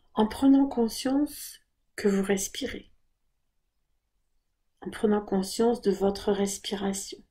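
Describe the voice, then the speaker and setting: Female meditation guide, mellow and breathy, very measured pace, calm and gentle instruction. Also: medium pitch. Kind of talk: guided body scan meditation.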